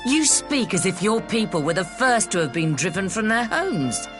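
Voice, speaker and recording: An actress playing a proud, defiant leader of a seafaring nation in a fantasy video game, firm and formal in archaic phrasing. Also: female voice, mid-range voice, sing-song, moderate pace, music in the background, close to an everyday voice.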